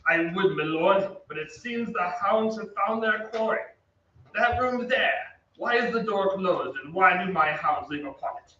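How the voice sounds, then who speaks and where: Demanding gentleman duelist for radio drama, male actor, bright and declamatory, heard from off on a reverberant stage. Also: sits high, lively intonation, a little slow, full character voice. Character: challenging, swaggering gentleman duelist knight. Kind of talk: old-time radio acting. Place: theatre stage. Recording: echoey stage, distant mic, dull, faint noise.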